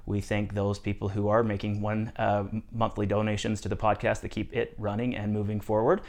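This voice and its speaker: A man's voice: on the low side, flat delivery, brisk, vocal fry, a little mellow. A man hosting a podcast, reading the intro with many filler words. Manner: many "uh" fillers, upbeat delivery. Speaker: podcast host. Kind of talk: podcast host intro and interview.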